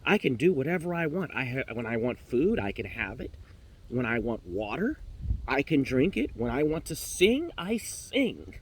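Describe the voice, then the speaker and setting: A man's voice: mid-range voice, animated, measured pace, slightly rough, low-quality recording. A man voicing a timid, sheltered female pet canary in a storybook tale, stammering, flustered and devout. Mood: indignant.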